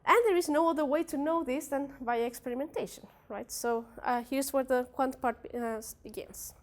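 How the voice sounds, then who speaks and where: A woman's voice: high voice, moderate pace. A young woman from a Spanish-speaking country, a native Spanish speaker with a Spanish accent, presenting her research at a workshop with many fillers and restarts. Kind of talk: academic presentation.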